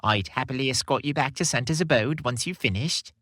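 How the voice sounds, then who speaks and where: A man's voice: medium pitch, a little fast, a bit bright, dry recording, studio quality, close to an everyday voice. Man voicing a polite, chirpy little Christmas elf. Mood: polite, helpful. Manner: courteous, eager, formal.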